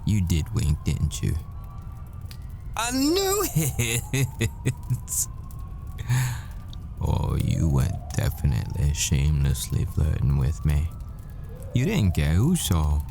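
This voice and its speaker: Boyfriend roleplay lead, male actor: haunted hitman living as a professor, very deep male voice, measured delivery, intimate and smitten. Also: wildly swooping pitch, creaky, dark tone, faint music behind, exaggerated voice. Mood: fond, teasing. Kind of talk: ASMR boyfriend roleplay, dramatic monologue.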